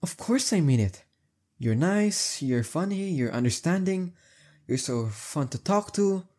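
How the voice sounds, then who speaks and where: A young man acting as a heartbreaker friend in an intimate roleplay audio, talking casually and haltingly with emphasis and a soft husky edge. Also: on the high side, animated. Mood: indignant, adoring.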